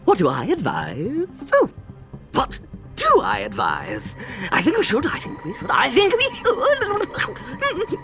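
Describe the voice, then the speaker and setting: A woman voices a fussy, theatrical adviser to a royal court in an audio drama. The British-accented voice sits fairly high and swings wildly in pitch. It is pompous and unhurried, with faint music underneath. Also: huge pitch swings, full character voice. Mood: dithering. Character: fussy theatrical male royal adviser who spins and dithers. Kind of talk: audio drama table read.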